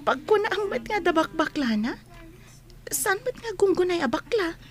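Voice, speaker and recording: A woman's voice: extremely high pitch, big pitch swings, normal speed. A woman playing a kind, frank young student in a radio drama, with a playful manner. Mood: frank, teasing. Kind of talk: Filipino radio drama acting.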